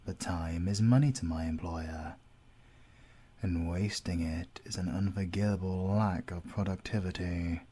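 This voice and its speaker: A British man acting a menacing, coldly courteous villain interrogator in an audio roleplay, speaking formally and deliberately, at times dropping into a whisper. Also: low voice, dark tone, husky, exaggerated voice. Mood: menacing, businesslike.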